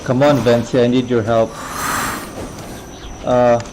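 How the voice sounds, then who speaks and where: Game streamer, androgynous medium-pitched voice, unhurried, casual commentary, game music behind. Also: little pitch movement, poor audio. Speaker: live streamer playing a game.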